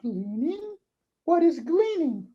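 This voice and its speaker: A woman voicing a devoted, gentle young daughter-in-law in a puppet show, speaking earnestly and deliberately. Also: high voice, very animated, lo-fi sound, full character voice. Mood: puzzled. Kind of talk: children's puppet show dialogue.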